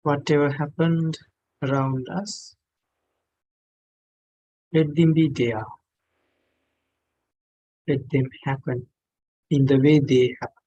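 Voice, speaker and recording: A man who is a non-native English speaker, guiding a meditation in gentle, measured phrases with long pauses. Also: on the high side, fairly even intonation, highs cut off. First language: not English. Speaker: meditation teacher. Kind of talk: guided meditation instruction.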